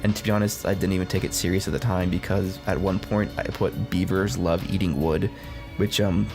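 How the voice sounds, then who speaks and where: Male commentary host, low register, blunt sarcastic delivery, casual scripted style, clear music bed. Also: level tone, brisk. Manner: sarcastic, blunt, swears freely. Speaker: video essay host. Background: background music.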